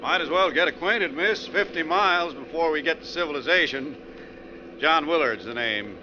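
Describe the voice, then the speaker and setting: A man's voice: on the high side, moderate pace, natural voice. A man with an American accent acting a talkative, nervy bus passenger in an old-time radio drama, chatty and insistent. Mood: chatty, awkward. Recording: dull, faintly noisy old radio recording.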